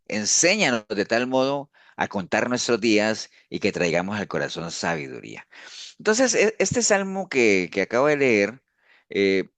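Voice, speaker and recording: A man's voice: on the low side, a bit bright, no echo. A pastor giving an informal discipleship teaching, talking conversationally and often tagging his sentences with a questioning "no". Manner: conversational, frequent "¿no?" tags and restarts.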